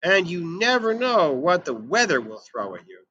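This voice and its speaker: Male children's storybook reader, higher-pitched and bright, expressive and emphatic delivery. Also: normal speed, slightly rough, no room sound, highs cut off. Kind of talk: expressive read-aloud of a picture book for children.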